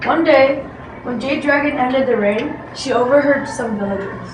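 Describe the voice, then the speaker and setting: A female amateur performer playing a patient father who tells folk tales in readers theatre, reading a little haltingly. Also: sits high, lightly acted. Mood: patient, storytelling. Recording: noisy echoey room, distant microphone.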